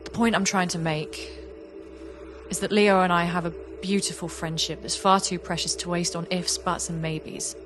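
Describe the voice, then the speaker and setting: Casting: actress as reflective young woman artist, lower-pitched voice, earnest confessional audio-drama storytelling, music underneath. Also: fairly even intonation, normal speed, lightly acted. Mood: heartfelt, resolute. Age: young adult. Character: young woman artist, reflective, warm, wistful about an old friend. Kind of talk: audio play monologue and dialogue.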